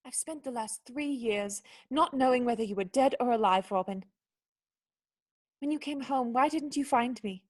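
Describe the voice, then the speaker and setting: A woman plays a young noblewoman in a radio drama, high-pitched and proud. She scolds in articulate, cutting phrases, indignant and wounded underneath. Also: moderate pace, lightly acted. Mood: hurt. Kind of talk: radio play acting.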